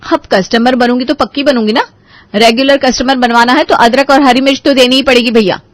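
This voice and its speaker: A woman's voice: high-pitched, steady tone, fairly quick, dry recording, pitched above the performer's own voice, natural voice. Woman playing an eager-to-please young daughter-in-law. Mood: firm, haggling.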